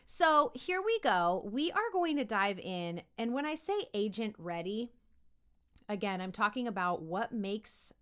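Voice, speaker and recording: A woman giving an upbeat, conversational lesson as a voice acting coach, animated and encouraging, often checking in with listeners. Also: mid-range voice, measured pace, smooth. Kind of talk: upbeat instructional talk to camera.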